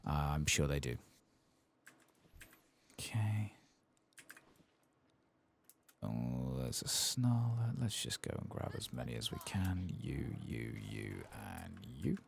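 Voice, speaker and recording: A soft-spoken man muttering casual ASMR commentary as he plays a game, with a husky, gravelly voice. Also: rumbling low, big pitch swings, a little slow, a little hiss or hum. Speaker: gameplay streamer.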